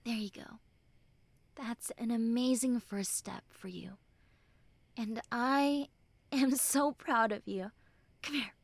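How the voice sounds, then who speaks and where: Tsundere girl friend, played by a woman: high register, unhurried, halting, bashful but caring; intimate roleplay audio. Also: no room sound, full character voice. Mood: tender, reassuring. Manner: halting, bashful, earnest, soft and breathy when consoling. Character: mean tsundere young woman turned tender, caring childhood friend. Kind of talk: intimate roleplay audio drama, spoken to the listener.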